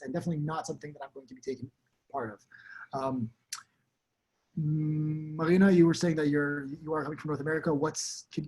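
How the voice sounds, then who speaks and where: A man, leading a casual online workshop and talking quickly with many fillers and restarts. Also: on the high side, a little mellow, breathy edge, low-quality recording. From Israel. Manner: fast, chatty, frequent fillers and restarts. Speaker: tech speaker leading a webinar. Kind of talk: casual presentation with questions to attendees. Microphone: video call.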